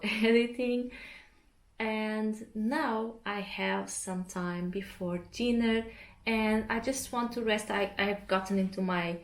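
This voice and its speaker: A woman from Portugal whose first language is Portuguese, chatting casually and relaxed to camera as an art vlogger at home. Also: medium pitch. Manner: chatty, relaxed, often laughs mid-sentence. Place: home interior. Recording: roomy echo.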